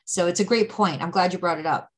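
A woman's voice: on the low side, fast. A woman teaching Torah, explaining ideas patiently in a conversational way with frequent restarts. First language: English.

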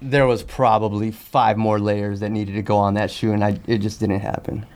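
A man's voice: fairly low pitch, little pitch movement, moderate pace, a little mellow. A man chatting casually as a podcast guest, talking in a candid, slangy way. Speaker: podcast guest, sneaker customizer. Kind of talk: casual chat.

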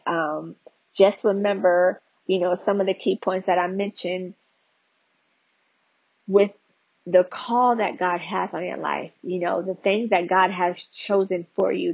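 A woman's voice: lowish voice, vocal fry, breathy edge, no room sound, highs cut off. A woman podcast host giving a conversational devotional teaching, talking straight to her listeners with frequent "you know" and "right?" asides.

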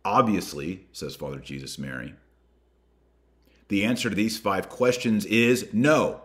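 An American man hosting a podcast, reading a document aloud and commenting on it with deliberate emphasis. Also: fairly low pitch. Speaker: podcast host.

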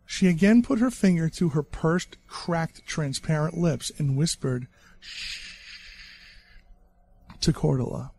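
A man narrating a dark horror short story in a measured, deadpan way. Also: on the high side, slightly rough, rough recording.

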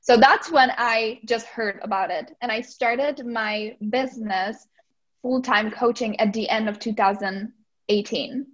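Czech-accented woman guest, eager and giggly, fairly high voice, muffled lo-fi line. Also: unhurried. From Czech Republic. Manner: excited, laughs often. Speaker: guest, life coach. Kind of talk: podcast interview.

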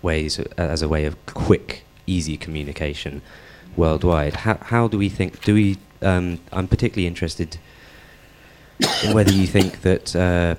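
A man's voice: sits low, normal speed, a little mellow. A man in the audience asking a question at a public debate, hesitant and full of fillers.

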